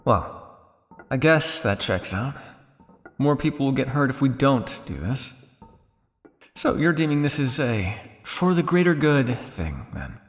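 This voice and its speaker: A cynical vampire scientist, acted by a man, speaks close and intimately in an ASMR roleplay. His medium-pitched voice moves expressively between sardonic jabs and weary condescension. A long reverb trails behind his words. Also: sing-song, a little slow, pauses often, faint music behind. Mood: measured, planning. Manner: sardonic, condescending, with exasperated sighs and dry asides.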